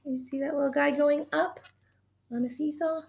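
A woman in her thirties, a teacher reading a picture book aloud to children in an animated, gushing way, recorded on a webcam in a small room at home. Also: high voice, normal speed, gravelly. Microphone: webcam at desk distance.

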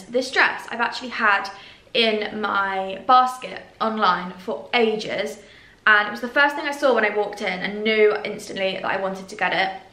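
Young British woman, upbeat fashion vlogger, gushing delivery, crisp bright tone, echoey room. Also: medium pitch. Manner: gushing and enthusiastic. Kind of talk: casual clothing haul to camera.

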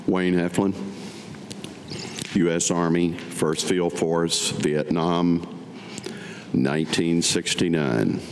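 Older guy reading his poem real slow at a podium, pauses a lot, big echoey room. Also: low-pitched, fairly even intonation. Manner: deliberate, pausing between short phrases. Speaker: veteran reading his own writing. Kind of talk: staged poetry reading. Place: indoor meeting room at a podium. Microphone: podium gooseneck microphone. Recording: echoey hall with audible room noise.

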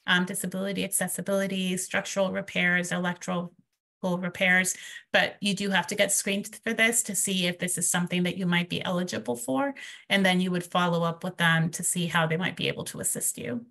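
A woman's voice: fairly low pitch, steady tone, fairly quick, gravelly, bright, forceful tone. A woman presenting a webinar over a video call, explaining programs slide by slide with frequent ums. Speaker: presenter from a financial nonprofit.

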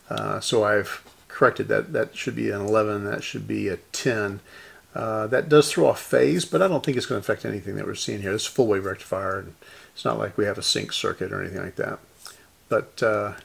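A man's voice: on the low side, vocal fry. A man narrating a hands-on repair in a casual, methodical way, thinking aloud as he works. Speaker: host of a radio repair channel.

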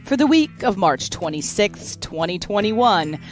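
A woman's voice: mid-range voice, big pitch swings, a little slow, faint music behind, low-quality recording. A woman hosting a podcast, upbeat and playful as she chats and runs through the show's outro. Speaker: podcast host.

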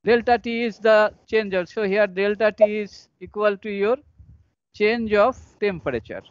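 A man with an Indian accent teaching engineering in a live online lecture, explaining step by step in a halting, repetitive way. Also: high voice, clean tone, no echo. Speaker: engineering professor. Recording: dull lo-fi online call audio.

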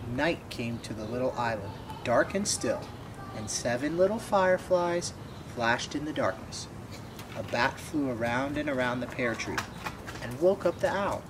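A man's voice: mid-range voice, animated, measured pace, noisy background. A man in his twenties reading a children's picture book aloud. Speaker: storybook reader. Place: outdoors by a wooden fence.

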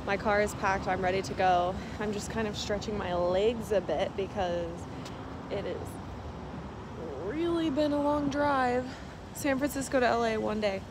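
A woman chatting casually and excitably as a travel vlogger on a road trip, with bubbly, exclamatory delivery. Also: mid-range voice, a little slow, background noise.

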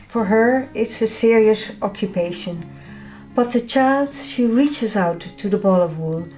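Older dutch lady talking through her paintings, calm and measured, soft guitar music underneath. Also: on the high side, rough recording. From Netherlands. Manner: calm, measured, slightly non-native phrasing. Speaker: artist. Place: home art studio, indoors.

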